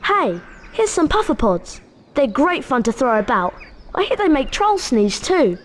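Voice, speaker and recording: A woman voicing an excitable, nervous young schoolboy wizard in a video game. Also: high-pitched, measured pace. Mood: excited, curious. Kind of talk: video game cutscene acting.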